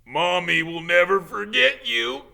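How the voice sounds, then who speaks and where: A man's voice: high-pitched, expressive, measured pace, vocal fry, sharp tone, voice pushed up, heavily acted. A man voicing a big, sentimental, blustering man who dotes on his baby pet in a storybook reading. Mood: tearful, doting. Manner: sobbing, doting, blustering.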